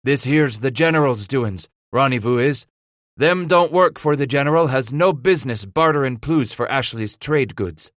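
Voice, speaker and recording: A man's voice: medium pitch, lively intonation, moderate pace, dry recording, studio quality, voice pushed up, lightly acted. A man reading aloud, voicing a surly, growling male trapper with a Spanish-French accent. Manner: growling, resentful.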